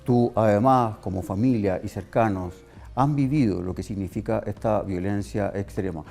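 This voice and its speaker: Emphatic Chilean host with a mellow low voice. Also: male voice, moderate pace, creaky, soft background music. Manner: emphatic, oratorical, builds lists. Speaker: talk show host. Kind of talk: interview with long, rhetorical questions.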